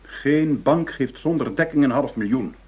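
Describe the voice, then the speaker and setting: An actor in a Dutch radio play playing a stiff, indignant, penny-pinching government finance official with a pompous, prim way of speaking. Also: male voice, medium pitch, unhurried, slightly rough. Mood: stern, grudging. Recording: dull, muffled radio drama recording.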